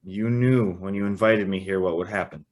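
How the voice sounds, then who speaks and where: A man's voice: deep voice, normal speed, darkish tone, natural voice. A man acting a bewildered, earnest young man who tells and lives his story in a radio drama, a little hesitant. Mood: incredulous. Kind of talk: old-time radio drama acting and first-person narration.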